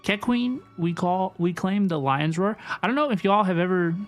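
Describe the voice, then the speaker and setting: Animated woman livestreamer over game music. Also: lowish voice, moderate pace. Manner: animated, repeats questions, reads chat aloud. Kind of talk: casual gaming livestream reaction, chatting with viewers.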